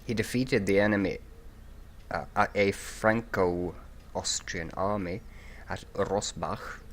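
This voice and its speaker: A man's voice: deep voice, slow pace, fairly bright tone. A man with a groggy morning voice hosting a game playthrough, rambling with many ums and restarts. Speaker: let's play host. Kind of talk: casual game commentary.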